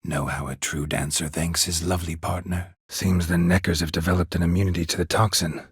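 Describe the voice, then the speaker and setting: A man voicing a gruff, stoic, dry-witted monster hunter in a video game, in a husky rasp with a terse, deadpan manner. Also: deep voice, fairly quick, dry recording. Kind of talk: video game character dialogue.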